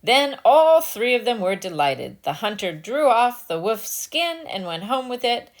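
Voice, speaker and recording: A woman's voice: on the high side, very animated, a little slow. A woman storyteller giving a dramatic scripted narration of a fairy tale.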